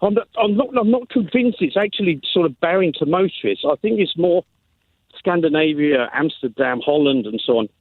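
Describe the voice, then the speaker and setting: A man's voice: on the high side, animated, fairly quick, no echo. A man calling in to a radio show, chatty and enthusiastic with hesitant restarts. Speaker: caller. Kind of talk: phone-in call. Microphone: phone line. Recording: tinny phone line.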